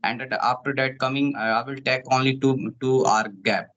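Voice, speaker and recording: A man's voice: medium pitch, fairly even intonation, moderate pace, a bit bright, highs cut off. A male teacher, not a native English speaker, chatting casually and repeating points as he talks. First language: Hindi. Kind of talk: casual conversation.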